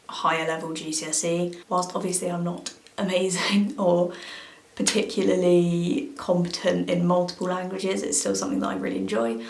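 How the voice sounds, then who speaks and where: Hesitant young woman, gravelly. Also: lowish voice. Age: young adult. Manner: hesitant, many fillers and hedges. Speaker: language-learning vlogger. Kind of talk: casual talk to camera. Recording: echoey room.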